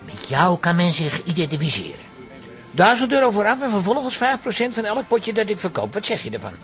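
Casting: pompous quack professor, extremely high swooping voice, grand sales patter, radio drama. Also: male voice, wildly swooping pitch, a little fast, a bit bright, faint music behind, heavily acted. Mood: persuasive, eager. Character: eccentric, pompous male professor peddling a quack remedy. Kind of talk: theatrical radio drama acting.

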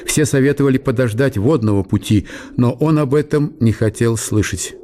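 A man reading a literary historical story as a radio narrator, in measured short phrases. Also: medium pitch, mellow, slight background noise. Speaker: narrator of a radio audio play.

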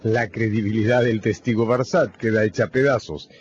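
A man narrating a radio novel in a scripted, dramatic storytelling style with measured phrasing. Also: lowish voice, steady tone, highs cut off, a crowd in the background.

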